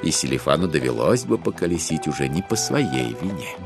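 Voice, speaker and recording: A man narrating a dramatised literary audiobook in an expressive, measured storytelling manner. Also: a bass voice, over music.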